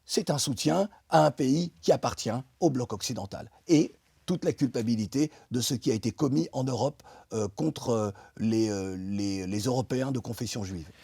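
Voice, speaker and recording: A middle-aged man from France, a guest in an interview, speaking emphatically about politics with many pauses and restarts. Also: medium pitch, vocal fry, dark tone, breathy edge, no room sound, clean studio sound. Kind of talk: impassioned political interview talk.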